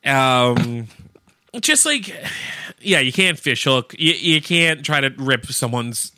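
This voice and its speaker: A man with a bright, forward voice at an ordinary male pitch, recorded dry and close. He talks like a radio co-host in loose conversation, explaining things bluntly and colloquially. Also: sing-song, takes it slowly, dry recording. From Canada. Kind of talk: casual chat, informally explaining.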